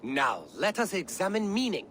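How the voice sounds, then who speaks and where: A man's voice: high voice, very animated, normal speed, a bit bright, slight background noise. An actor voicing a playful older male poet and mentor, who speaks with theatrical, rhythmic delivery in a video game cutscene. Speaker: game character.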